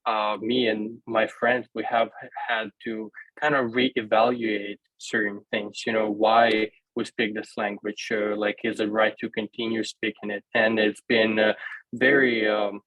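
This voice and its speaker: Young Ukrainian man, low flat voice. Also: a little slow, no room sound. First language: Russian. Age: 20s. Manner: hesitant, many fillers and restarts. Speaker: panel guest. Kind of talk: conversational panel answer. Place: small room indoors. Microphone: laptop webcam video call. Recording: dull lo-fi video call audio.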